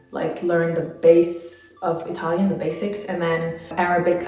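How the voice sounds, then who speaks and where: A woman's voice: on the low side, a little mellow, heavy reverb, distant mic. A young woman from Spain answering viewer questions in a casual travel vlog, chatty and full of "like" fillers. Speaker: travel vlogger. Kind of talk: casual Q&A chat.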